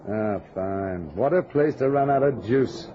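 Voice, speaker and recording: Impatient, lively-pitched radio drama husband. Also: male voice, unhurried. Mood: exasperated. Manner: exasperated, brusque, reassuring. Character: impatient, dismissive husband soothing his frightened wife. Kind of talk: old-time radio drama acting. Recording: noisy, muffled vintage radio drama recording.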